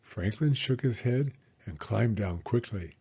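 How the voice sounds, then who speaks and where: A man reading a children's storybook aloud in an expressive, deliberate storytelling manner. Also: fairly low pitch, breathy edge, muffled recording. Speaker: storybook reader. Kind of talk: children's read-aloud narration.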